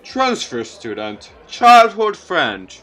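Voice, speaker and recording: A man voicing a teasing, jokey male teacher in a visual novel, with a smug, playful, loud manner. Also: high voice, huge pitch swings, takes it slowly, soft background music, exaggerated voice, low-quality recording. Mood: amused.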